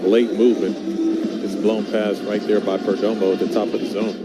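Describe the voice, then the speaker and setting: A man's voice: on the low side, flat delivery, a little fast. A man giving color commentary on a baseball game. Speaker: baseball analyst. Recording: very noisy stadium broadcast clip. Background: loud stadium ambience.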